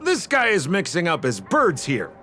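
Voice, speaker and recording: A man's voice: on the high side, wildly swooping pitch, moderate pace. An actor playing a wry, puzzled young male detective's assistant in a video game, musing hesitantly. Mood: wry, doubtful. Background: game music.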